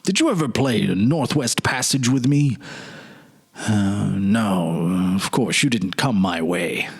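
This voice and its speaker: Man playing a wistful gentleman, animated and musing. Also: fairly low pitch, slow, slightly rough, full character voice. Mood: animated, wondering. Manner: hesitant, musing, reminiscing. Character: wistful middle-aged gentleman confiding a childhood memory. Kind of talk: dramatic storybook reading.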